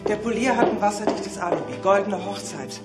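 A woman's voice: mid-range voice, lively intonation, fairly quick, natural voice. Dogged middle-aged woman sleuth, played by an actress, music underneath. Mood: eager, evasive. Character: Adelheid Möbius, dogged middle-aged police secretary turned amateur sleuth. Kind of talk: naturalistic German radio drama acting.

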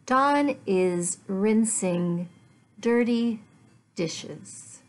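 A middle-aged woman teaching English to learners, speaking deliberately in short, carefully enunciated phrases with pauses between them. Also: mid-range voice, lively intonation, a little mellow. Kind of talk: slow language lesson for learners. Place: small living room at home, indoors.

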